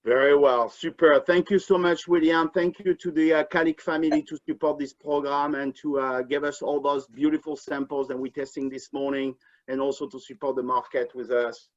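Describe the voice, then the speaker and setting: Upbeat French-accented host, muffled. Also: male voice, on the high side, little pitch movement. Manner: upbeat, quick thanks and prompts. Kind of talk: hosting a live online trade tasting. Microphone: video call. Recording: dull lo-fi video call sound.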